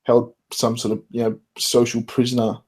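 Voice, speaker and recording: A man's voice: mid-range voice, completely flat delivery, normal speed, a little mellow, slightly rough. A man with an Australian accent hosting a casual online chat, swearing freely and laughing often. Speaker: podcast host.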